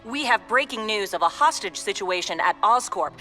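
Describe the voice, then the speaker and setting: An actress playing a brisk, urgent female television news reporter in a video game. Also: mid-range voice, lively intonation, bright, forceful tone, soft background music.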